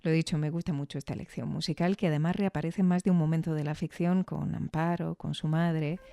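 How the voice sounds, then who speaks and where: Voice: mid-range voice, steady tone, brisk, gravelly, mellow. A podcast host giving thoughtful, explanatory commentary on an audio drama. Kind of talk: reflective commentary.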